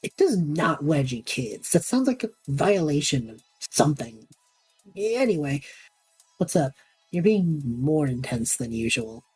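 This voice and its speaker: A woman plays a young male student at a magic academy in an audio drama. The voice is deep for a woman, soft-edged and husky. He rambles and second-guesses himself, flustered and sarcastic, over background music. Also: big pitch swings, unhurried, exaggerated voice, poor audio. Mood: playful, defensive. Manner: rambling, self-interrupting, flustered. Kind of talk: audio drama acting, monologue and banter.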